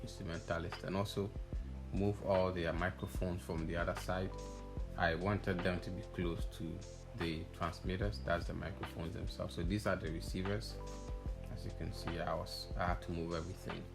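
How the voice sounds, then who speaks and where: A man's voice: sits low, flat delivery, moderate pace, creaky. A man giving a casual, hesitant voice-over walkthrough as an audio installer, thinking aloud as he explains. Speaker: audio installer narrating own project video. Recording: music playing behind the voice. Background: background music.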